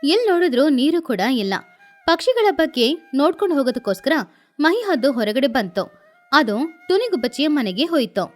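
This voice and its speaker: A woman's voice: high voice, big pitch swings, brisk, faint music behind. A woman narrating a scripted children's story.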